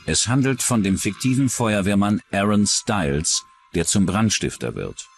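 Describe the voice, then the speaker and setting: A man's voice: lowish voice, animated, normal speed, gravelly, faint music behind. A man reading scripted true-crime documentary narration as the narrator.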